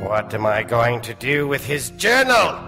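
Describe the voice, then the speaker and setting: An actor playing a mysterious, musing doctor who tells strange tales in 1940s radio horror style. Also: male voice, medium pitch, big pitch swings, unhurried, music in the background. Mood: mock regretful.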